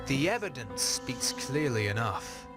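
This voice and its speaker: Slow, stern inhuman judge acted by a man. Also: mid-range voice, very animated. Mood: cold, formal. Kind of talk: visual novel voice acting. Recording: loud guitar music behind.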